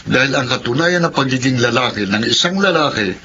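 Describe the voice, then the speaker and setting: A teasing young accountant son. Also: male voice, mid-range voice, lively intonation, a little fast, bright, forceful tone. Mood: cheerful. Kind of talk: radio drama acting. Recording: dull lo-fi audio, hissy noise.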